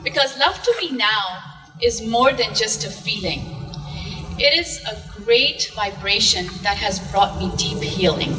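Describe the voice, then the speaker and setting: A woman telling her personal story on stage in a slow, dramatic, poetic way. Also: medium pitch, animated. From Zambia. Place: art museum event stage with audience. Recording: distant mic in a reverberant hall.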